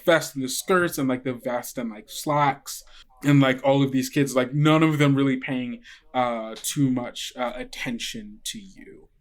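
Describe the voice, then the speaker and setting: A man running a tabletop game as game master, narrating off the cuff in a casual, halting, colloquial way. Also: medium pitch. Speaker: game master and podcast host.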